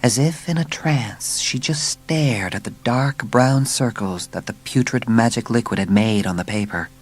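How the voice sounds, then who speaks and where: A man's voice: mid-range voice, a little slow. A man narrating a dramatised children's story with scripted, dramatic storytelling.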